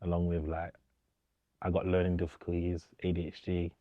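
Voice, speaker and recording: A man's voice: low voice, monotone, normal speed, no echo, phone-quality sound. A young man, speaking informally with slang and repetition as a DJ being interviewed. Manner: informal, slangy, repeats himself. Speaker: DJ, interview guest. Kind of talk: casual documentary interview.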